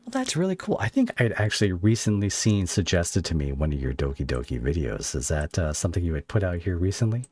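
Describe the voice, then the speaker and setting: A man's voice: sits low, wildly swooping pitch, fast, hardly any pauses, darkish tone, breathy edge. A man hosting a casual livestream podcast interview, effusive and given to rambling as he talks with his guest.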